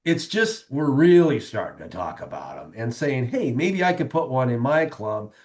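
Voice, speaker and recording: A man hosting a casual podcast chat, upbeat and chatty with a slightly raspy voice. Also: mid-range voice, sing-song, normal speed. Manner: upbeat, chatty, frequent fillers. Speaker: podcast host.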